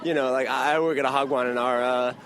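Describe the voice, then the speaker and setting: A man's voice: medium pitch, steady tone, fast, fairly bright tone. A man from Florida, answering a street interview casually with many fillers. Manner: casual, hesitant, lots of fillers. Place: city street outdoors. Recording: street recording with traffic noise.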